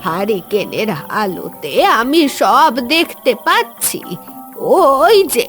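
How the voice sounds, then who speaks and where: Cartoon old village woman: accusing, wildly swinging pitch, drawn-out delivery. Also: sing-song, a bit bright, soft background music, exaggerated voice. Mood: angry, accusing. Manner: accusing, scolding. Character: suspicious scolding old village woman guarding her orange tree. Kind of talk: Bengali fairy-tale cartoon acting.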